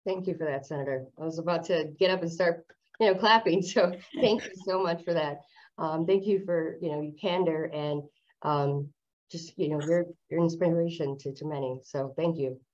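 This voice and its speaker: Low-voiced woman moderator on muffled call. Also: normal speed, a little mellow. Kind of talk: moderating a virtual town hall. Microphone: video call. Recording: dull video-call audio.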